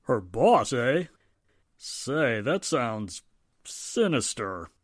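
A man's voice: medium pitch, sing-song, drawn-out words, full character voice. A man acting a jovial, teasing, blustery small-town 1940s husband in a radio drama, jocular and full of exclamations.

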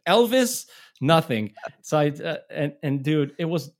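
A man's voice: on the high side, lively intonation, unhurried. A middle-aged man from Israel, a touring musician, telling stories in a casual, rambling way with many restarts and laughs. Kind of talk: casual storytelling.

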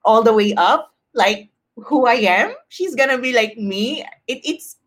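A woman's voice: a little high, expressive, a little slow, fairly bright tone. A woman talking casually and personally as a podcast guest, expressively acting out her own thoughts as quotes.

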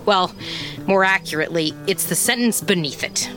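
An actress playing a wry, rattled small-town private detective who narrates in a conversational, casually profane way in an audio drama. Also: female voice, on the low side, normal speed, lightly acted. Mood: wry, bemused. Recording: music under the voice.

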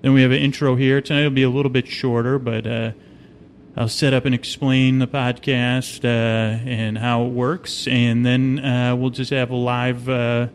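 A man's voice: flat delivery, moderate pace. A man hosting a sleep podcast in a meandering, hesitant, slightly goofy soothing monologue, recorded on location inside an observation wheel cabin. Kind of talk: rambling, soothing bedtime monologue. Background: low ambient hum, faint.

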